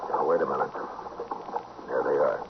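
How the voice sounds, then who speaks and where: An actor playing a firm, measured frontier marshal in a 1950s western radio drama. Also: male voice, extremely low pitch, steady tone, creaky, exaggerated voice. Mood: calm. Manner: measured, authoritative, plain-spoken. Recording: noisy, dull vintage radio recording.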